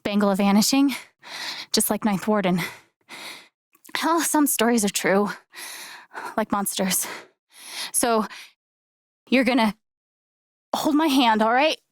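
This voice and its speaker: A young woman as a caring, slightly unsure video game heroine. Her voice sits fairly high and is recorded close and dry. She speaks in a casual, natural, conversational way with some hesitancy, sounding earnest throughout. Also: slow pace, long pauses, no echo. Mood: gentle, reassuring. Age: young adult.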